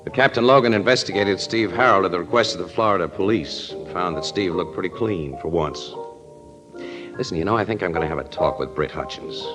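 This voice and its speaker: Man playing a breezy, low-pitched American crime photographer. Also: brisk, lightly acted, rough recording. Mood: breezy, curious. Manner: slangy, casual, wisecracking. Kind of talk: 1940s radio acting. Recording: vintage radio drama, music in places.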